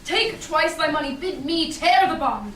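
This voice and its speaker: A woman playing a composed young male doctor of law in a staged Shakespeare reading, formal and measured. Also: sits high, expressive, fairly bright tone. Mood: judicial, firm. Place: small theatre stage, indoors. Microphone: far audience camera. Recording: echoey stage, distant camera, faint noise.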